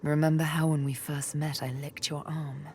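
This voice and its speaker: An actress voicing a vengeful, seductive elf assassin in a video game, deliberate and teasing, often murmuring. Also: female voice, sits low, little pitch movement, dark tone, slight background noise, close to an everyday voice. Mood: flirtatious, intimate.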